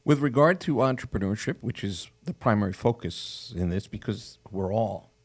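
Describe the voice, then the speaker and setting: A man's voice: fairly low pitch, expressive, moderate pace, slightly rough. A man hosting a real estate show as a casual interview, with a joking, conversational manner.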